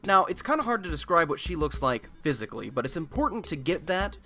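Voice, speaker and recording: Animated, cocky nerdy male radio host, acted by a man. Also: on the high side, fast, soft background music, exaggerated voice. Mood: chatty, explanatory. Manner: chatty, sarcastic, emphatic. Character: nerdy, cocky young man hosting a superhero-city broadcast. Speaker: broadcast host. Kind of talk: casual radio-show monologue in a scripted audio drama.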